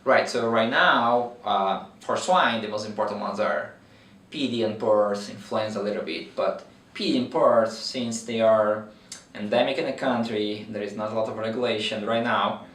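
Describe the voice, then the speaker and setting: A man's voice: on the low side, moderate pace, a bit bright, recorded from a distance. A man in his thirties from Brazil with a Brazilian accent, explaining his veterinary research in an interview with many fillers and restarts. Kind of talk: interview answers. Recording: roomy echo, mic from afar.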